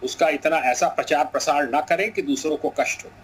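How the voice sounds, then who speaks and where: A man speaking as a guest on live news, giving his view as a measured appeal. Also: fairly high pitch, steady tone, slight background noise. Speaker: guest commentator.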